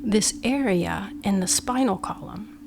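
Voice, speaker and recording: A woman guiding a meditation with measured instructions, in short phrases that often end hushed. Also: mid-range voice, gravelly, noisy background. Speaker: meditation guide. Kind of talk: slow guided meditation, instructional.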